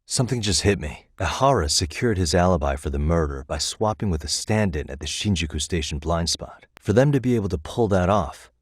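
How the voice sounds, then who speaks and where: An American man voicing a level-headed, thoughtful detective in a video game, with measured, articulate delivery as he reasons aloud. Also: low-pitched, expressive, breathy edge, no room sound. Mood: explaining, focused. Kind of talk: scripted game dialogue.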